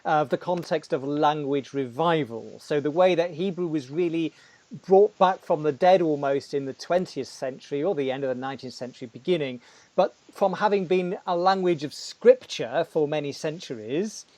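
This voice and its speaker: Guy hosting a chat, pretty high voice, long winding questions, very dry. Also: moderate pace, a little mellow, dry recording. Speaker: podcast host. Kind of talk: interviewing a guest.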